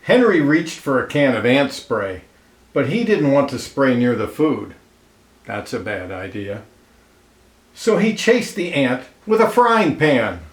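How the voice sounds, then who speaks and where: A man reading a children's picture book aloud expressively, pausing to comment and ask listeners questions, in an echoey room. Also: medium pitch, big pitch swings. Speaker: storybook reader. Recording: roomy, echoey indoor sound.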